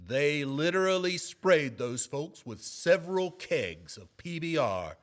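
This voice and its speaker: Man playing an earnest, slow-spoken TV news anchor. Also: on the high side, sing-song. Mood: newsreader formality. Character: earnest male TV news anchor, gently reassuring. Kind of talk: comedy sketch acting, mock newscast.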